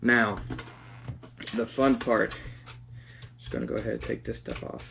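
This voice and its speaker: A young man casually narrating a hands-on project, half talking to himself in a halting mutter as he works in a living room. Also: mid-range voice, very animated. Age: young adult. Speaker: DIY vlogger. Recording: dull lo-fi sound, clear background noise.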